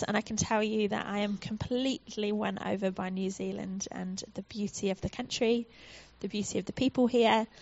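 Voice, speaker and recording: A woman's voice: fairly high pitch, no room sound, muffled recording. A woman from the United Kingdom with a British accent, a charity guest speaker giving a friendly, earnest church talk with frequent ums.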